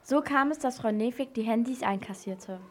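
A woman's voice: on the high side, normal speed. A female narrator telling a scripted story in a children's radio play.

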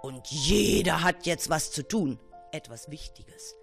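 Woman reading a kids story, slow n dramatic, swoopy intonation, soft bit of music behind. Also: deep voice, animated, creaky. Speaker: narrator. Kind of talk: children's story narration.

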